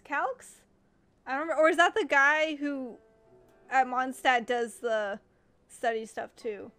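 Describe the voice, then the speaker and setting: A young woman streaming a game, chatty and excitable, thinking aloud as she plays. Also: sits high. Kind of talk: casual gameplay commentary.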